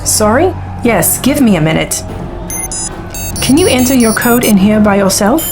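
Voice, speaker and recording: A woman acting in a science fiction audio drama as a brash, wry young reporter and pilot with a sarcastic, expressive delivery. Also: fairly high pitch, normal speed, lightly acted. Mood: worried, businesslike. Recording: music behind the voice.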